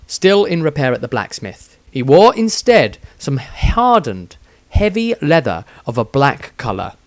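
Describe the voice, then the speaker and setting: A man reading his own fantasy story as scripted narration, with dramatic delivery and deliberate pauses. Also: medium pitch, no room sound.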